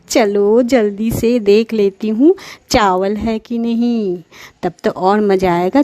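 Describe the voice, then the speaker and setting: A woman's voice: dark tone, no echo. A woman voicing a gleeful, greedy witch in a children's cartoon, gloating in a villainous manner. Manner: gloating, with high-pitched cackles. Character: gleeful greedy witch eager to cook her captives.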